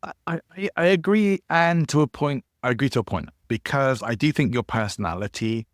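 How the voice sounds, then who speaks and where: A man co-hosting a podcast, with a husky voice and a measured, thoughtful way of talking in casual chat. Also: mid-range voice, no room sound, clean studio sound. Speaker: podcast co-host.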